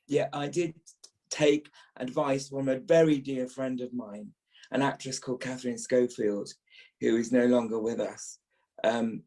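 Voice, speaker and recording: British male, early 50s, voice-trained actor, medium pitch, reminiscing podcast guest. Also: dark tone, rough recording. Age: early fifties.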